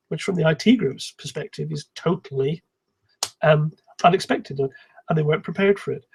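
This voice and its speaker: A man giving an explanatory technical conference talk in a calm, measured manner, with a slightly husky voice. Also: fairly high pitch, low-quality recording. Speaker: conference speaker, software engineer.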